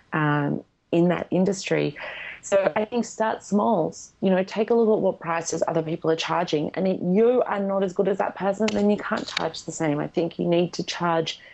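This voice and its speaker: A woman's voice: on the low side, creaky, darkish tone, rough recording. A woman, a guest in a podcast interview, speaking frankly and with emphasis. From Australia.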